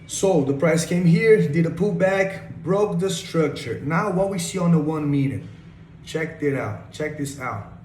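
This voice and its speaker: A young man with a Brazilian accent explains a trade, upbeat and eager, often pointing the listener to the screen. His voice sits a bit high for a man. The room is echoey, with a faint hiss behind him. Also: expressive, a little slow, darkish tone. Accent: Brazilian Portuguese. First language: Portuguese. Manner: enthusiastic, repeats phrases like "check this out". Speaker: day trader. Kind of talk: screen-share trade breakdown.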